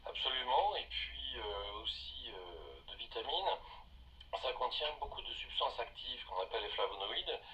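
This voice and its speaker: A man's voice: mid-range voice, animated, unhurried, pushed and bright. A male doctor explaining things emphatically and didactically as a guest in a radio interview. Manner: didactic, emphatic, restates points. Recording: slightly distant radio sound, faint noise.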